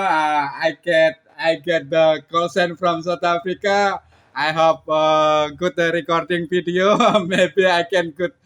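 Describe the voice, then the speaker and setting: A man with an Indonesian accent speaking casually as an amateur radio operator, halting and often repeating his phrases. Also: high-pitched, fairly bright tone. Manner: halting, repetitive, often says "my friend". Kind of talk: casual ham radio contact.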